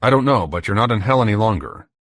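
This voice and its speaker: Male reader as defiant, matter-of-fact American hero of an audiobook, animated pitch, very dry sound. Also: fast, no echo. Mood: earnest. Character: weary, defiant educated American man in hell.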